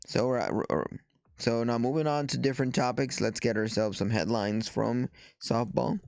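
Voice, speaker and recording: A man hosting a softball show, talking casually and reading news aloud, with a stuffy nose after the flu and a halting delivery full of fillers and restarts. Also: mid-range voice, vocal fry, clean tone, no room sound, studio quality.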